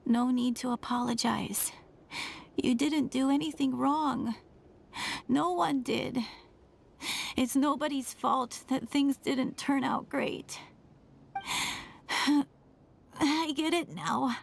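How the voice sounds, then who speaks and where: A woman voicing a gentle, frail, self-blaming younger sibling in a dramatic video game, with sighing, halting, earnest speech. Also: high-pitched, creaky, heavily acted. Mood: tender, fading. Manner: slow, halting, earnest confession.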